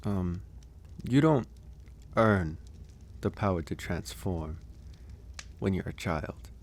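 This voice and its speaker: A man playing a gentle, solitary werewolf in an ASMR audio roleplay, speaking softly and hesitantly, with sighs and pauses. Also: deep voice, expressive, exaggerated voice. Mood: reflective. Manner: hesitant, soft-spoken, unhurried with sighs and pauses. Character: gentle solitary male werewolf caring for an injured stranger.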